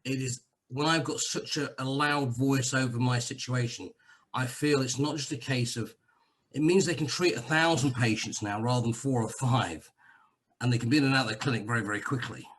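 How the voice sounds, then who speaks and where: A man from England speaks in a husky, slightly rough voice at an ordinary pitch. He talks casually as a guest, circling back on himself and joking at his own expense. The tone stays conversational throughout. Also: steady tone, brisk, fairly bright tone, poor audio. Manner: chatty, self-deprecating, rambling with restarts. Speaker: guest sharing a testimonial. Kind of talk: casual video-call chat. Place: Cyprus.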